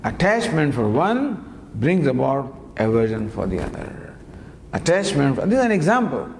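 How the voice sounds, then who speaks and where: A man giving a spiritual discourse on scripture, teaching in a lively, gently humorous way with many rhetorical questions, recorded in a lecture hall. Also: mid-range voice, moderate pace. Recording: long hall reverb, faint background noise.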